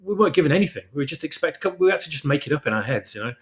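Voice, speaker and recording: A man's voice: fairly high pitch, lively intonation, rapid-fire. A man giving emphatic opinions in a casual discussion, often repeating his phrases for weight. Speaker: guest, fan-fiction writer.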